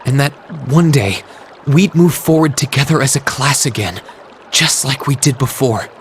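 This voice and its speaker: An actor voicing an earnest, self-doubting teenage student in a video game, speaking sincerely and reflectively. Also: male voice, mid-range voice, expressive, moderate pace, a little hiss or hum, lightly acted. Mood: resolved, hopeful. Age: young adult. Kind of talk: video game cutscene voice acting. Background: trickling water ambience.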